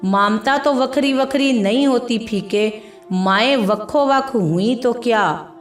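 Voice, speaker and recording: Woman playing tender village mother, storybook, homely motherly manner, unhurried, faint music. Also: a little high. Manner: motherly, homely rustic phrasing. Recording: echoey voice over faint music.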